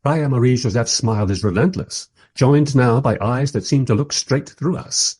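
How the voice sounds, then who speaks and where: A man's voice: medium pitch, normal speed, darkish tone, husky, lightly acted. A man playing a bemused documentary interviewer who narrates a radio play in a dry, reflective, self-deprecating way. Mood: uneasy, bemused. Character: wry, bemused male documentary interviewer narrating his journey.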